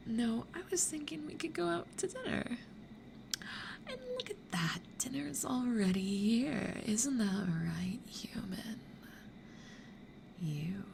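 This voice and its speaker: A woman's voice: a little high, background noise, full character voice. A woman playing a seductive, possessive succubus demon in an ASMR roleplay, teasing and often half-whispering. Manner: slow, teasing, breathy half-whispered delivery.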